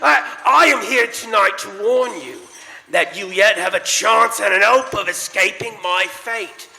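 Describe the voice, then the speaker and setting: Anguished male ghost, slow drawn-out delivery, sharp forceful tone, mournful warnings, radio play, long hall reverb. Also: high voice, gravelly, exaggerated voice. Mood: solemn warning. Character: tormented ghost of a dead businessman, mournful and warning. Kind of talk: live radio play acting. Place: live stage in a church.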